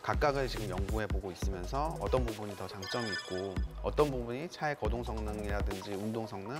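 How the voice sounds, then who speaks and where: A man's voice: fairly low pitch, quick pace, loud music behind the voice. A man working as an engineer, giving polite, formal, technical explanations in an interview. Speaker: car development engineer.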